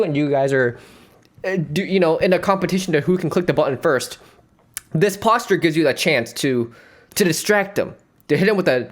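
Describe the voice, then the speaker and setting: Animated joking male streamer. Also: on the high side. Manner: rambling, playful, breaks into laughs. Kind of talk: joking reaction commentary.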